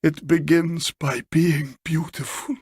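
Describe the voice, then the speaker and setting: A man's voice: on the high side, steady tone, slow pace, a little mellow, breathy edge, dry recording, clean studio sound, voice pushed up, full character voice. A man voicing an anxious, desperate woman visitor with formal, insistent phrasing in an audiobook story. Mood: hushed, ominous.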